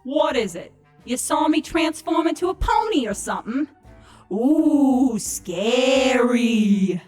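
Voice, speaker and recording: A woman's voice: high voice, animated, takes it slowly, darkish tone, faint music behind. A woman voicing an indignant, sarcastic young female changeling in a dramatised story reading. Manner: sarcastic, exasperated. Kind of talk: dramatised fan fiction reading.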